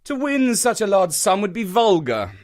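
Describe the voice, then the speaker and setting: A man doing an energetic confident detective. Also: high-pitched, normal speed, pitched above the performer's own voice. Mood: assured. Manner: energetic, crisp, precise pronouncements. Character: brisk, brilliant, self-assured male detective.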